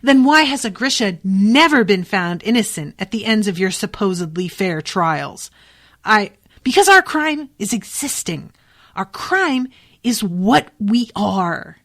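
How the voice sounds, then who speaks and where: A woman's voice: mid-range voice, animated, moderate pace, a bit bright, close to an everyday voice. A woman voicing a fiery, defiant young woman from a novel, impassioned, accusatory and scornful. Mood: bitter, impassioned. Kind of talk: audiobook-style novel reading.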